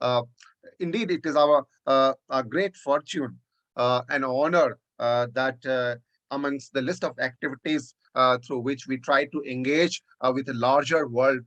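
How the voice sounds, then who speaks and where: A man's voice: mid-range voice, moderate pace, fairly bright tone, highs cut off. Host on a video call, man, formal and hesitant introduction. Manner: hesitant, many fillers.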